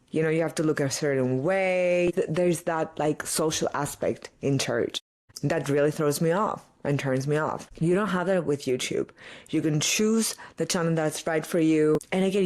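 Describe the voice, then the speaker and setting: A podcast host from Greece, a native Greek speaker with a Greek accent, talking earnestly in a casual solo confessional, firing off rhetorical questions and filling pauses with "like". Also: mid-range voice, darkish tone.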